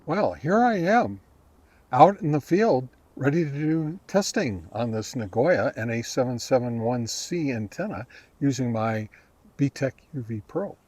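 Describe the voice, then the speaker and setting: A man narrating a casual product test as a review host, talking step by step in a halting way. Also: mid-range voice, big pitch swings, darkish tone. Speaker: host of a ham radio gear review channel.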